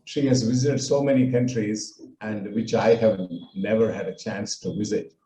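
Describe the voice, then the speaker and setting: An older man from India with a Tamil accent, giving a halting personal talk with many fillers. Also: lowish voice, steady tone, warm tone, roomy sound, rough recording. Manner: halting, with frequent fillers and restarts. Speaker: speaker recounting his life.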